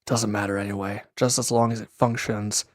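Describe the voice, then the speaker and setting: A man playing a wounded, proud villain in an intimate audio drama, sullen and guarded, curt and halting in a breathy murmur. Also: fairly low pitch, little pitch movement, no room sound, studio quality, close to an everyday voice. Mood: indifferent, reserved.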